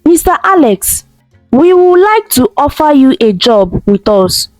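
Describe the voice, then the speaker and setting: A woman's voice: sits high, sing-song, unhurried, a little mellow, dry recording, faint music behind. A woman voicing a polite, formal company caller on the phone.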